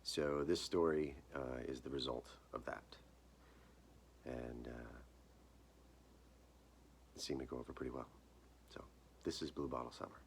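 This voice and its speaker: A man reading his own short story aloud as its author, with measured, deliberate phrasing. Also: extremely low pitch, steady tone, a little hiss or hum. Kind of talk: dramatic live reading of literary horror fiction.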